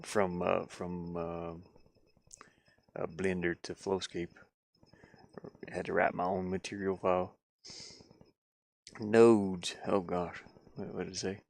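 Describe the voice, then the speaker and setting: Low, creaky-voiced man rambling on a stream. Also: little pitch movement, takes it slowly, long pauses, a little mellow, no echo. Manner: rambling, musing, self-questioning. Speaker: live streamer learning game design. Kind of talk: casual livestream chat, thinking aloud. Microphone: headset.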